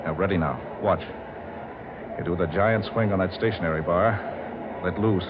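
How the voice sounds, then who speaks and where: A man's voice: low-pitched, normal speed, natural voice. An actor playing a confident circus performer in old radio drama style, casual and reassuring in his talk. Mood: confident, watchful. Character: confident, easygoing male circus performer named Barker. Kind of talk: 1940s radio drama acting. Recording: noisy, muffled old radio recording.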